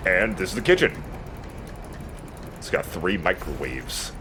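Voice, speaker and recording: A man's voice: deep voice, sing-song, measured pace, background noise. A man giving casual, joking commentary while he plays a video game. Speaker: let's play host playing the game.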